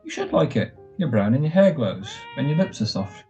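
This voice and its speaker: A man's voice: mid-range voice, very animated, normal speed, warm tone, lightly acted. A man playing an affectionate, teasing young husband back from military service in a 1940s-style radio drama, wistful and a little hesitant. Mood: tender. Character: tender, playful young returned serviceman husband. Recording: dull muffled audio, faint music.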